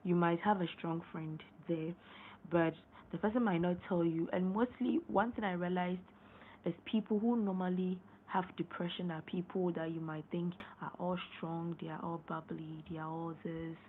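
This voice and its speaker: A young woman hosting a casual late-night live stream, hoarse and losing her voice, rambling and repeating herself as she clears her throat. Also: on the low side, steady tone, normal speed. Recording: tinny phone-line sound, faint noise.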